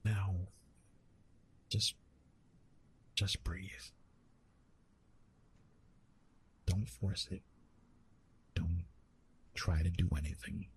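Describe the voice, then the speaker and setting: A man playing a retired immortal Greek god of love in an intimate ASMR roleplay, speaking to the listener in a soothing, gently teasing, conversational way. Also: low-pitched, fairly even intonation, takes it slowly, gravelly, long pauses, slight background noise, exaggerated voice.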